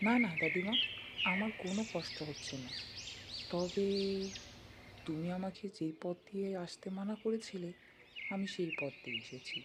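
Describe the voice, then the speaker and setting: A woman's voice: on the low side, normal speed, long pauses, heavy background noise, natural voice. A woman playing a stubborn, fearless young grandson in a folk-tale cartoon, insistent and argumentative. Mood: defiant.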